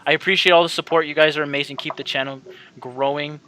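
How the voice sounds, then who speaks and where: A man's voice: a little high, steady tone, fast talker, sharp tone, a little hiss or hum, low-quality recording. A man doing casual let's-play commentary as a channel host, rambling and repeating himself as he talks to his viewers.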